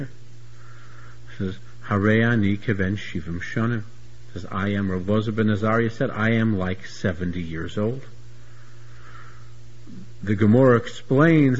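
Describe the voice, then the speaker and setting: Man, talmud lecture, husky low voice, dull lo-fi recording. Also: a little mellow. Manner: searching restarts, repeats words while explaining. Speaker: Talmud teacher.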